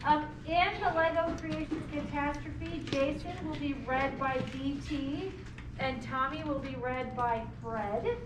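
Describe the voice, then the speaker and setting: Casting: encouraging woman host for play readings, high voice, heard in an echoey room. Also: steady tone, a little slow. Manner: encouraging, often asking questions. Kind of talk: reading stage directions, leading group discussion. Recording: distant mic in noisy, echoey room.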